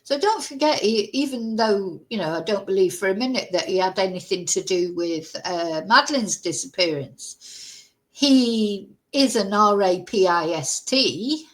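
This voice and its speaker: A woman's voice: mid-range voice, animated, measured pace, low-quality recording. Chatty woman hosting a commentary vlog. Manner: chatty and opinionated, with rhetorical tag questions; spells out sensitive words letter by letter. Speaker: true-crime commentary host. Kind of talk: casual commentary, reading news articles aloud.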